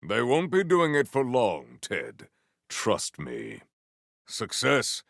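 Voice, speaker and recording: An actor voicing a proud, honourable video game warrior who speaks in a formal, archaic, solemn and theatrical way. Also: male voice, medium pitch, huge pitch swings, slow, vocal fry, fairly bright tone, no room sound, studio quality, heavily acted. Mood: brooding, grim. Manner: formal, archaic, grandiose phrasing.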